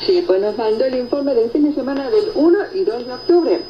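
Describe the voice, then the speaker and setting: A woman's voice: on the high side, expressive, a little fast. A woman hosting a radio program, reading and answering listener reports in a conversational presenting style, heard over shortwave. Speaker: radio host. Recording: noisy shortwave radio reception, muffled, echoey. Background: loud static noise.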